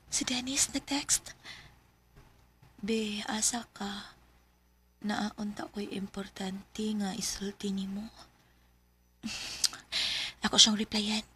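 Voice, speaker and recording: A woman's voice: medium pitch, pushed and bright, slight background noise. A woman playing a stubborn, lovelorn young daughter in a radio drama, with a Bisaya accent. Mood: sulky, brooding. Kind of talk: Bisaya radio drama acting with confiding narration.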